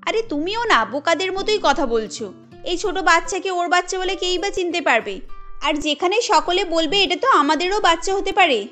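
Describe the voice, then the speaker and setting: A woman's voice: very high-pitched, lively intonation, moderate pace, a bit bright, soft background music. Anandita, a woman doing a scornful scheming young wife. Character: scheming jealous young woman, sharp-tongued.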